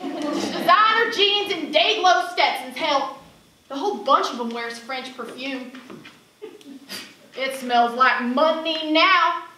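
A woman's voice: high voice, takes it slowly, bright, forceful tone, full character voice. A young woman on stage playing a brash, fed-up rodeo cowgirl with a Southern US accent and a salty, folksy, emphatic manner. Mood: sardonic. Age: young adult. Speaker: student actress performing a monologue. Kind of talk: theatrical stage monologue. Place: indoor theater stage. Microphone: far camera. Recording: distant, echoey theater recording with noise.

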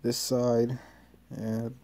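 A man giving a casual hands-on tutorial in halting, short step-by-step instructions. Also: mid-range voice, level tone, dark tone. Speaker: tutorial presenter. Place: carpeted floor in a room at home.

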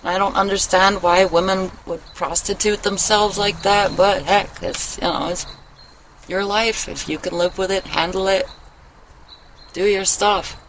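Middle-aged female vlogger, medium pitch, candid and rambling confessional chat, recorded outdoors with ambient noise. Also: fairly even intonation, a little slow, rough recording. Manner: rambling, candid, swears casually. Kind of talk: casual confessional chat to camera. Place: outdoors in a wooden shelter in the woods.

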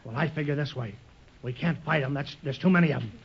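An actor playing a rough, bossy, short-tempered villainous sailor with a gruff, salty way of talking, in old-time radio adventure style. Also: male voice, fairly high pitch, fast talker. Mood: scheming. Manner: gruff, salty uneducated seaman's talk. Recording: dull lo-fi old radio recording.

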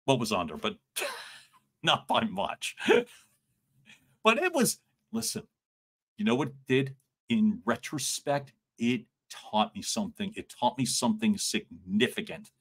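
A middle-aged man coaching on communication in a live stream, loud and expressive, joking with his viewers, speaking from a room at home into a studio mic. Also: mid-range voice, measured pace, long pauses, slightly rough. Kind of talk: livestream coaching talk. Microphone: large studio mic in front.

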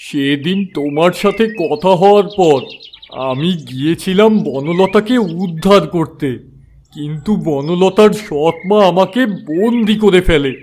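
Solemn, anguished holy hermit, high-pitched male actor. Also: measured pace, a little mellow. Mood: grave. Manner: sorrowful, solemn, earnest. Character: wronged, anguished holy hermit, pious and determined. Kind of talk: melodramatic fairy-tale cartoon dubbing.

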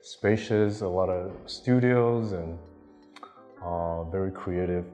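Man in his 30s from Taiwan, very low voice, halting interview answers on a lapel mic, a long reverb tail and faint music behind. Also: warm tone. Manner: hesitant, many ums and restarts. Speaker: interviewee artist.

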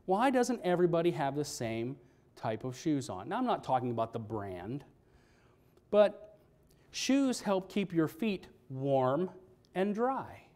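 A middle-aged male pastor with a notably high voice, preaching from a church pulpit. He keeps it conversational, putting questions to the congregation as he goes. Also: darkish tone. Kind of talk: sermon. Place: church sanctuary, standing at a pulpit. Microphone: pulpit microphone, filmed by a fixed camera.

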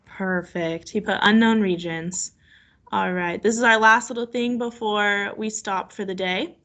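A woman's voice: mid-range voice, fairly even intonation, a little slow, a bit bright. Woman, teacher, children's read-aloud lesson, mid-range voice, online class call. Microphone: video call.

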